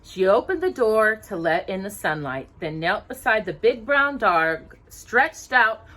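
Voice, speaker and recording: A woman reading a children's storybook aloud in an expressive, animated storyteller's manner. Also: medium pitch, unhurried. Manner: animated, asks listeners questions.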